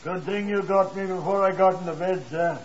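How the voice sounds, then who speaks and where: An actor playing a chatty, respectful working-class night porter in a 1950s radio drama. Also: male voice, sits high, fairly even intonation, fairly quick, a little hiss or hum, close to an everyday voice. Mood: chatty, deferential. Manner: respectful, plain-spoken. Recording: dull vintage 1950s radio recording.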